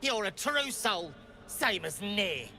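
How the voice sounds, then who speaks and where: High-pitched, surly game rebel. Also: male voice, very animated, takes it slowly, vocal fry, bright, forceful tone, exaggerated voice. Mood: hostile, wary. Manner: brusque, snarling, coarse cursing. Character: surly, foul-mouthed, suspicious male rebel underling. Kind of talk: video game character acting.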